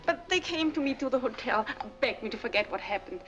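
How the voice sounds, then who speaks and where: An actress playing a distressed, trusting young bride-to-be, pleading emotively in 1940s film melodrama style. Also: female voice, a little high, animated, brisk, natural voice. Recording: dull 1940s film soundtrack under music.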